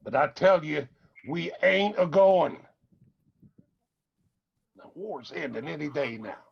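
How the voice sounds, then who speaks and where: An actor playing a weary, stern old mountain father in a radio drama, with an Appalachian accent and a husky, gravelly voice. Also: on the high side, expressive, slow, muffled recording, exaggerated voice. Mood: firm, sorrowful.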